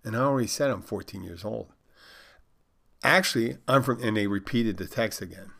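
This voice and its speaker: A man's voice: on the low side, quick pace, creaky. Man telling a rambling, casual anecdote. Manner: rambling, with restarts and asides. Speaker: storyteller recounting trolling a scammer.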